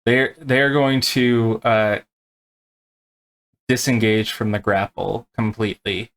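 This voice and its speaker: A man acting as game master, explaining rules and narrating tabletop play in a halting, unscripted way. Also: fairly low pitch, little pitch movement, fairly bright tone.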